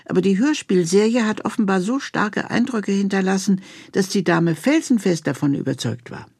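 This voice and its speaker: A man's voice: high voice, lively intonation, normal speed, gravelly, darkish tone, husky, no echo, studio quality. A man hosting a radio series, giving a scripted, anecdotal introduction.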